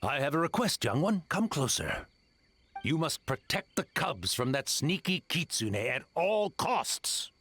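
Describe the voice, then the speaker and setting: Fussy, excitable, high-pitched tanuki elder. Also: male voice, a little slow, breathy edge, exaggerated voice. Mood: suspicious. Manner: theatrical, blustering, suspicious. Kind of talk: English video game voice acting.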